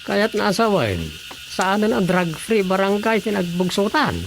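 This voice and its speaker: A man voice-acting an ordinary village husband in a radio drama, talking in a low, muttering way. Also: unhurried, creaky, slight background noise. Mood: plain. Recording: radio drama with loud background music.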